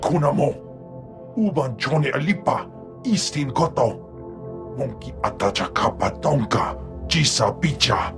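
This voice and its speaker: A man's voice: medium pitch, expressive, a little slow, creaky, pauses often, music in the background. A man voicing a stern alien Jedi master in a video game, speaking clipped syllables of an invented alien tongue. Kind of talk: video game cutscene acting.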